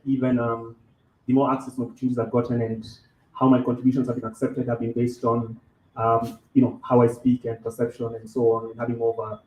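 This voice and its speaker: A man from Kenya talks through his ideas at a live café event, often stopping and restarting mid-sentence. His voice is mellow and dark. The room is echoey with faint background noise. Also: medium pitch. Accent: neutral English, faint Kenyan. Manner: halting, many restarts and repeated words. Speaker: panelist. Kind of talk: live panel discussion.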